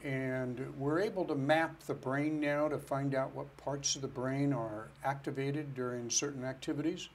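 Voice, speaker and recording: A man's voice: medium pitch, steady tone, moderate pace, few pauses, slight background noise. A man who is a financial planner, answering interview questions in an earnest, step-by-step way. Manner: earnest, explains step by step with restarts.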